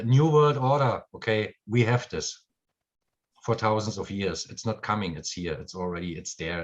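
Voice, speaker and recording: A German-accented man talks loosely on a live stream, stumbling over fillers and circling back to restart his thoughts. His voice sits low, and the sound is dull and lo-fi. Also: darkish tone. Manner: rambling, with many fillers and restarts. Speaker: host. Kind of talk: casual live-stream talk.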